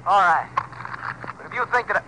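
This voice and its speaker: An actor playing a scheming, desperate nephew, urgent and wheedling, in a 1950s radio crime western. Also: male voice, high voice, lively intonation, fairly quick. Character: scheming, desperate nephew trying to buy a murder. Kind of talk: 1950s radio drama acting. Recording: vintage radio recording, faint noise.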